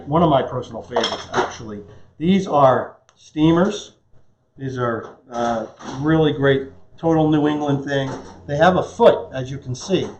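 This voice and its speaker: A man's voice: mid-range voice, slight background noise. A man in his fifties hosting a live cooking demonstration in a casual, conversational, joking way. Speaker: chef and cooking show host. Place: home kitchen, indoors. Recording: echoey kitchen, dull muffled sound.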